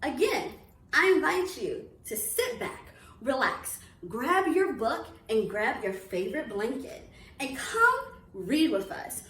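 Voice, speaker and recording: A woman presides as host and introduces her guest in an upbeat, welcoming way. Her voice sits fairly high and moves up and down a great deal. The sound carries the echo of a roomy space. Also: sing-song, slow, a bit bright. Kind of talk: hosting an online reading series interview.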